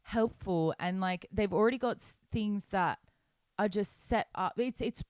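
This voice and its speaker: A woman's voice: mid-range voice, a little slow, a little mellow, dry recording. A young woman co-hosting a podcast, chatting casually, laughing a lot and chiming in. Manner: giggly, agreeable, chimes in. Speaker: podcast co-host. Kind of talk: casual chat.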